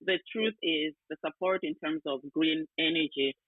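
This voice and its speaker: A man's voice: a little high, fairly even intonation, moderate pace, no room sound. A man from South Sudan speaking earnestly in an online panel discussion, often repeating words as he searches for them. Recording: tinny phone-like call audio.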